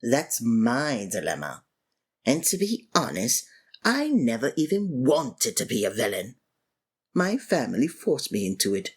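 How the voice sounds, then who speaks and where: A woman performing a scripted villain roleplay, breathy and theatrical, exasperated and swinging abruptly between registers. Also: very deep voice, wildly swooping pitch, measured pace. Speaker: ASMR voice actor playing a villain.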